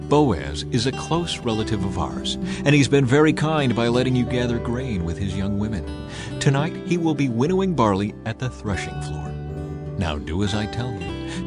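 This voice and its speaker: A man voicing a caring, patient older mother-in-law who advises in a measured, instructive way in a Bible story. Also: mid-range voice, big pitch swings, loud music behind the voice, natural voice.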